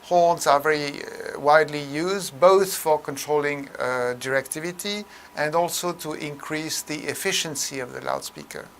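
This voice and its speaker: A man presenting a technical tutorial in an explanatory way, with a halting, hesitant delivery full of mid-phrase pauses. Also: a little high, a bit bright, slight background noise.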